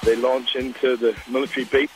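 A man's voice: mid-range voice, big pitch swings, brisk, over music, rough recording. A man in his forties with a Northern Irish accent, leaving an earnest, reflective voicemail as a caller. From Northern Ireland. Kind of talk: voicemail message.

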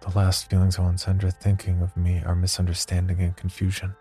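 An actor playing a haunted, unravelling man confessing dark thoughts in a horror audio drama diary, with brooding, measured delivery. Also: deep voice, completely flat delivery, soft background music. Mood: cold, haunted. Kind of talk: horror audio drama monologue, first-person diary narration.

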